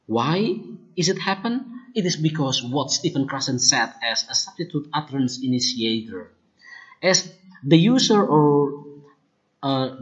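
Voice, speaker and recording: A speaker with an Indonesian accent whose first language is Kupang Malay, presenting research findings in a deliberate, explanatory way. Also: mid-range voice, dull audio. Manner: deliberate and explanatory, often starts points with "look" or "now". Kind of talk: academic presentation on language errors.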